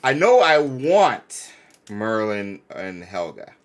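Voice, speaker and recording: A man's voice: on the low side, very animated, slow pace. A man co-hosting a casual live stream, chatting playfully with viewers and often repeating his phrases. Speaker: co-host of a fan live stream.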